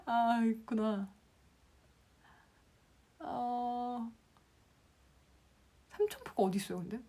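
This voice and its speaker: Lively woman hosting a live stream. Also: a little high, drawn-out words, long pauses. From Gyeongsang province, Korea. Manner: reads viewer comments aloud and answers them, laughing often. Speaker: Instagram class instructor on a live stream.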